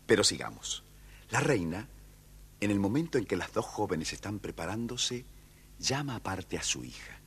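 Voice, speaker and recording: A man's voice: on the low side, very animated, moderate pace, a bit bright, husky. A man with an Argentine accent narrating a scripted children's story as the storyteller.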